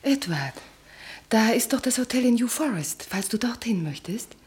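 An actress in a German radio play as a composed, gently insistent wife with a breathy, husky voice. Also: female voice, fairly high pitch, expressive, moderate pace.

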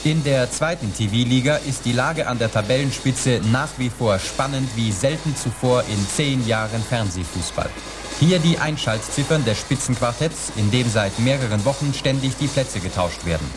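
A man's voice: medium pitch, moderate pace, lightly acted. Male actor for formal sports-table announcer in radio play, mid-range voice, factual, prominent music bed. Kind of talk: scripted sports bulletin in a radio drama.

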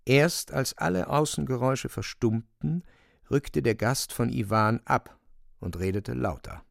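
An actor narrating a literary radio drama as a grave storyteller, measured and dramatic. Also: male voice, mid-range voice, vocal fry, dry recording, studio quality. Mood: grave, suspenseful.

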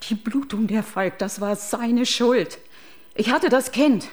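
A woman's voice: fairly high pitch, slow. A woman playing a distraught, defensive doctor confessing under questioning in a crime radio play, stammering and agitated. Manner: stammering, agitated, breaking into whispers. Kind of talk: German crime radio drama acting.

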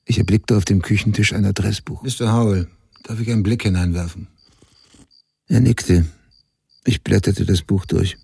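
An actor playing a writer who soberly narrates his past in a radio drama, with a husky, dark, gravelly voice. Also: fairly low pitch, fairly even intonation, normal speed, long pauses, no echo, full character voice. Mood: sober, reflective. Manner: sober, matter-of-fact. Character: grown male writer soberly recounting his murdered mother's case. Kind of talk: scripted first-person narration, radio drama.

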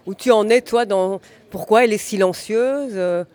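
High-voiced man asking rambling questions. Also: normal speed, a little mellow. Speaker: interviewer. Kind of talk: interview questions.

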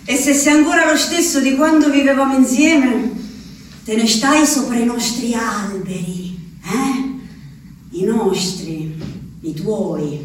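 An actress voicing a woman locked away in an asylum, speaking her letter to her husband in a very high, unhurried voice with a lilt. She drifts from hushed to sing-song. The sound is echoey and heard from some distance off the mic, with faint noise underneath. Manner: slow, intimate, shifting between hushed and sing-song. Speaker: stage actress performing a monologue. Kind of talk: theatrical monologue, letter to a husband. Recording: echoey, distant radio theatre recording.

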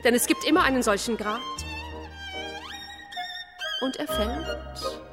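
A woman acting as a solemn radio play narrator, reading poetic text in measured, deliberate phrases. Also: a little high, lively intonation, loud music behind the voice. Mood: solemn, intense. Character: solemn female narrator of an inner ordeal.

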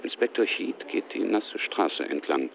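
An actor as a sober scene narrator in a German radio drama, matter-of-fact and terse, with a muffled, radio-like sound. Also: male voice, low voice, expressive, unhurried, gravelly, loud music behind the voice, heavily acted. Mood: detached. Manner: matter-of-fact, terse present-tense delivery.

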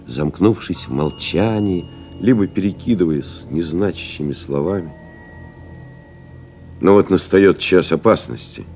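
An actor playing a pensive airmail pilot who recalls lost comrades in a literary audio drama, solemn and reflective, with measured dramatic pauses. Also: male voice, low-pitched, warm tone. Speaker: actor reading a literary memoir as narrator.

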